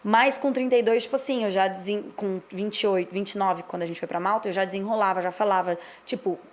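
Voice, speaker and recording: A woman's voice: medium pitch, fairly even intonation, fast talker. A woman in her thirties from Brazil, co-hosting a casual chat and speaking candidly and reflectively in long stretches. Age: 30s. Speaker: co-host.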